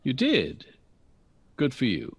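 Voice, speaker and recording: A man playing a suave, domineering older jazz bandleader in a period audio drama, husky and smooth-talking with showy, pompous phrasing. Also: mid-range voice, huge pitch swings, takes it slowly, full character voice. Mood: cool, condescending. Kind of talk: period radio drama acting.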